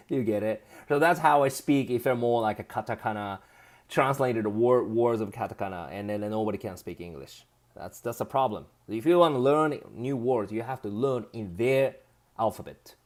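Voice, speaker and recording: A man's voice: mid-range voice, moderate pace. A man with a Japanese accent hosting a casual live stream, reading viewer comments aloud and laughing often as he chats.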